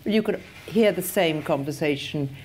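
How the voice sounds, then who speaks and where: A woman chairing a festival panel discussion, talking in a halting, wry way with many pauses and restarts. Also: deep voice, darkish tone, breathy edge. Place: festival stage.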